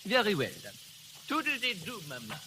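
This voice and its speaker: High-voiced, cheeky French lad. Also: male voice, huge pitch swings, normal speed, sharp tone, a little hiss or hum, full character voice. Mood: cheeky, conniving. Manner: slangy, cheeky, accented English. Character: cheeky young French son, mangling American slang. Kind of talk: 1930s radio acting. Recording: old 1930s radio recording with music.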